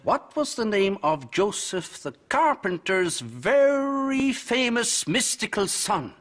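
A man playing a patient, polite health assessor in a TV sitcom, asking questions in a measured, formal way. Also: mid-range voice, sing-song. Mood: patient, official. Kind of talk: TV sitcom acting. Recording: loud studio audience noise.

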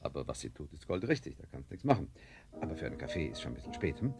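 Gravelly deep composer father. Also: very animated, very fast, music in the background, heavily acted. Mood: fond, easygoing. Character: composer father, fond but easily irritated, in radio play. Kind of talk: radio play acting.

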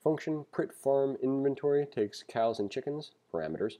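A man's voice: medium pitch, animated, moderate pace, mellow. A man giving a read-along programming lesson as a teacher, reading aloud and adding wry, conversational asides.

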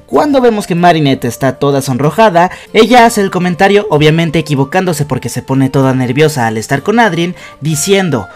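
A man's voice: fairly high pitch, animated, fairly quick, few pauses. A young man with a Mexican accent presenting scripted fan commentary as a video host, in an emphatic, enthusiastic way. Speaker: YouTube host. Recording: voice-over with music behind.

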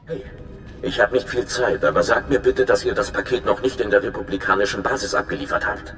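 Ein Schauspieler als ernster, berechnender Informant und Spion in einem Videospiel, der eindringlich erklärt. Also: male voice, on the low side, brisk, a bit bright, faint music behind, lightly acted. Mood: urgent. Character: earnest, scheming male spy informant. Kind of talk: dramatic video game dialogue in German. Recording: echoey, noisy hologram transmission.

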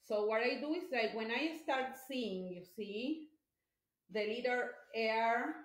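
Slow-talking woman in an echoey kitchen. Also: on the high side. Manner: chatty and explanatory, with many fillers. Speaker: cooking instructor. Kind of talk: live cooking demonstration. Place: home kitchen, indoors. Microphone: device camera across the counter.

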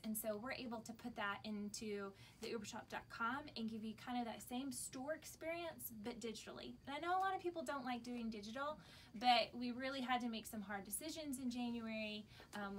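A woman in her thirties with an American accent gives a casual announcement to customers. Her voice sits a little high. She is recorded indoors from a few steps away, with faint noise behind her. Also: a bit bright. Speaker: small shop owner. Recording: background noise, camera from a distance.

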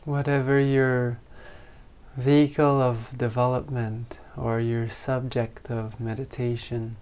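A man leading a guided meditation with calm, gentle instructions and long pauses. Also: mid-range voice. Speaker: meditation teacher.

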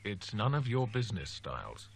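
A male narrator voicing a calm, dependable young male medical student who speaks bluntly and skeptically. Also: on the low side, normal speed, gravelly, a little hiss or hum, pitched above the performer's own voice. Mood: dismissive.

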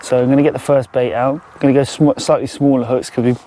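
A man with a mellow, relaxed voice talks casually as an angler presenting his own outdoor vlog. His delivery is unscripted and chatty, at a medium pitch. Faint water noise sits behind him as he speaks out in the open. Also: fast. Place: outdoors by a fjord shore.

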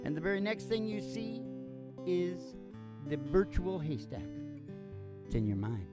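Older man, sixties, raised pitch, theatrical folksy comic host, guitar music behind, live small stage. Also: big pitch swings, unhurried. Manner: rambling, playful, theatrical. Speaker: host and country singer. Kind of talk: folksy comic radio-show patter. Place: small curtained stage, indoors. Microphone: stand microphone. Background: acoustic guitar.

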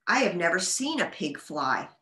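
A woman's voice: fairly low pitch, normal speed, reverberant. A woman voicing a cheerful, eager young female pig in a children's storybook, exclamatory and easily delighted. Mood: tired, resigned. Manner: exclamatory, squealing when excited.

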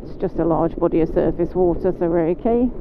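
Calm British woman riding, tinny helmet mic. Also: fairly low pitch. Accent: British English. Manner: calm, measured, talks through each riding step. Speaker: motorcycle instructor. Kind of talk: instructional commentary while riding. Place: on a motorcycle on wet roads in rain. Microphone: helmet mic while riding. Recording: phone-like helmet mic, road and engine noise.

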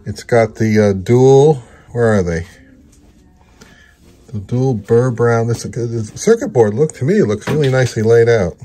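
A man's voice: mid-range voice, lively intonation, a little slow, mellow, slight background noise. A man hosting an audio gear vlog, talking in a casual, informal way. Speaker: host of an audio repair vlog. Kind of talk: casual chat and informal video narration.

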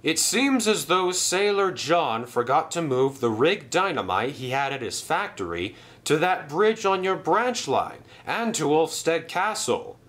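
A man's voice: on the high side, big pitch swings, a little slow, few pauses, a bit bright, voice pushed up. A man doing a proper, fussy railway boss. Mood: scheming. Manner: proper, exclaiming.

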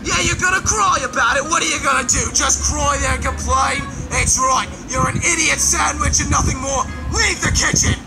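Brief: male actor as irate head chef, high, wildly animated, sweary, shouting over loud music. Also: moderate pace, gravelly, few pauses. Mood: furious. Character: angry celebrity-style British head chef, ranting in kitchen. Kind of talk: comedic comic dub.

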